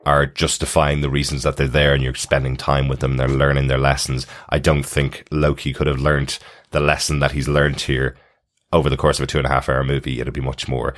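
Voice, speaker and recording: A man's voice: rumbling low, monotone, brisk. A man hosting a podcast in a casual, chatty, conversational way. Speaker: podcast host. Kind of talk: casual TV discussion, reading listener email.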